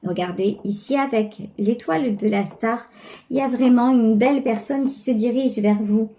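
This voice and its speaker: Female tarot reader, high voice, unhurried delivery, conversational and reflective, addresses the viewer directly. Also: dark tone. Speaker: tarot reader, channel host. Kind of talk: intuitive tarot reading, spoken directly to the viewer.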